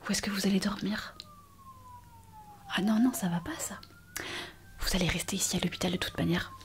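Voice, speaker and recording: A woman playing a kind, eager young hospital intern in an ASMR roleplay, speaking in a soft, breathy whisper with an attentive, hesitant manner. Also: medium pitch, fairly quick, a little hiss or hum, lightly acted. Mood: concerned. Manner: attentive, hesitant, gently reassuring. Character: kind, eager, chatty young female hospital intern on her first night shift.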